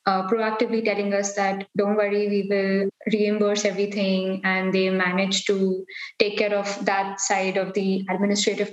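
A young woman from India, a PhD student, answering interview questions thoughtfully, pausing and hedging with fillers. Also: mid-range voice, lo-fi sound. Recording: echoey room.

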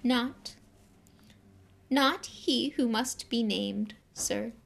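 A woman voicing a tiny, servile male house-elf with a squeaky, strained, trembling voice, who talks about himself in the third person and pleads fervently. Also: extremely slow, gravelly, a bit bright, exaggerated voice. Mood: anxious.

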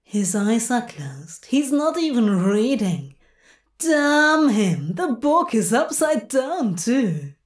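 A woman's voice: fairly high pitch, big pitch swings, takes it slowly, exaggerated voice. A woman voicing a sardonic male demon in a story, blustering, exasperated and reassuring by turns.

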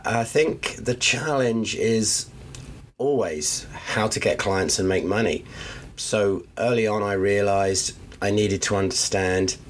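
A man's voice: fairly low pitch, takes it slowly, a little hiss or hum. A man who is a photographer, congested from the flu, explaining his ideas thoughtfully on a podcast from his studio over the line. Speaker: guest photographer. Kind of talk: podcast interview. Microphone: phone line.

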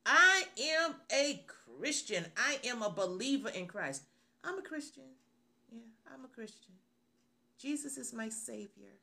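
Middle-aged woman preaching slow and bright, lots of repeating and asking the crowd. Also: a little high, gravelly. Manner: prayerful then animated, repeats phrases, rhetorical questions. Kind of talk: radio sermon with prayer.

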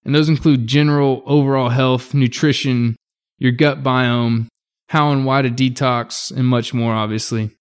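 A man's voice: mid-range voice, steady tone, moderate pace, smooth. A man hosting a podcast, introducing the episode and welcoming a guest in an upbeat, enthusiastic way. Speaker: podcast host. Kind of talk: scripted episode intro and interview questions.

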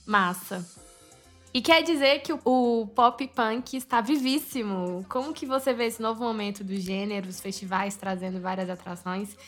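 A woman's voice: mid-range voice, normal speed, faint music behind. A woman with a Goiás accent hosting a podcast, chatting casually and upbeat, often laughing and chiming in. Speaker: podcast host. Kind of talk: casual interview chat.

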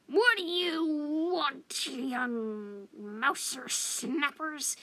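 Woman voicing a croaky, crotchety old she-cat, forceful. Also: sits high, lively intonation, extremely slow, voice pushed up, exaggerated voice. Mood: gruff, demanding. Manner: folksy, gruff, bossy. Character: crotchety, ancient, tough female stray cat.

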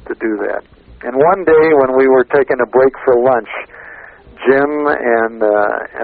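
An American man telling casual anecdotes in a halting, meandering way, repeating phrases as he goes. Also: mid-range voice, gravelly. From United States, Chicago area. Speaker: radio interviewer, guest recalling past interviews. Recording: lo-fi narrow radio or phone sound.

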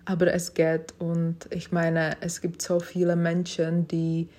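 A woman's voice: lowish voice, completely flat delivery, slow pace, mellow. A young woman hosting her own podcast, speaking German as a second language in a hesitant, reflective way with many fillers and restarts. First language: not German. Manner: self-reflective, encouraging. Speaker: podcast host. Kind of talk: casual personal monologue.

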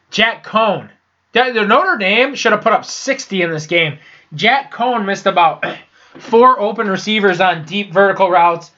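A man's voice: sits high, pushed and bright, muffled recording. A young man with a congested, sinus-clogged voice, casually recapping college football scores, blunt and profane, often stumbling and restarting. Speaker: sports podcast host. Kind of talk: casual solo sports recap.

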